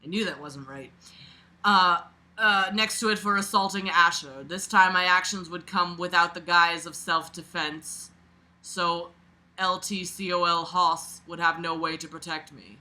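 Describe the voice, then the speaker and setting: A woman reading a book aloud as a host, casual and expressive, breaking off for sarcastic, sweary, mocking asides. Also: on the low side, unhurried, poor audio.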